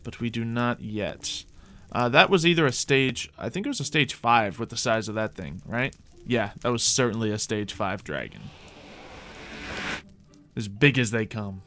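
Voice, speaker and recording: A man's voice: medium pitch, lively intonation, normal speed, bright, forceful tone. A man hosting a gaming video, thinking aloud in casual gameplay commentary.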